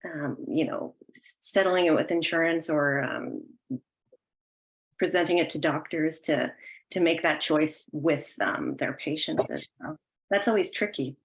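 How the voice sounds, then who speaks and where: Woman, slightly low voice, online session host, encouraging, affirming, hesitant phrasing. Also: unhurried, poor audio. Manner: encouraging, affirming audience comments. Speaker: host of an online audience discussion. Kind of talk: hosting a virtual question and comment session. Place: online session, remote call.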